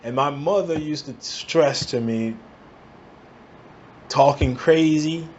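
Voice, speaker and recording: Heated New man, slightly high voice. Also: slow pace, a little mellow, slight background noise. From the Bronx, New York. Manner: heated, emphatic, repeats "all right?" to the listener. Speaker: vlogger. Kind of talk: angry commentary rant. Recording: dull lo-fi recording.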